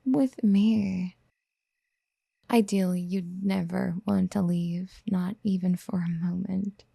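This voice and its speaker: A woman playing a doting, possessive, motherly yandere in an ASMR roleplay, speaking in soft, breathy whispers with tender coaxing, close to the mic. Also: mid-range voice, fairly even intonation, slow, no echo, full character voice. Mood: adoring.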